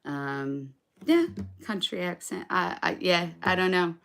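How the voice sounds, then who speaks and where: A woman's voice: fairly low pitch, takes it slowly, vocal fry, a bit bright. A woman chatting casually with viewers on a live stream between songs, rambling and hesitant with lots of fillers. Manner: chatty, self-deprecating. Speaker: singer-songwriter live streamer.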